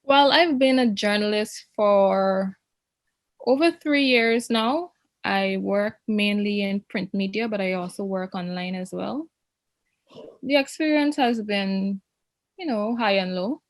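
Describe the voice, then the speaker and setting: A woman's voice: medium pitch, slow, dry recording. Proper young woman. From Guyana. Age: young adult. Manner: proper, formal phrasing. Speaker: interview guest, journalist. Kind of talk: polite, measured interview answers.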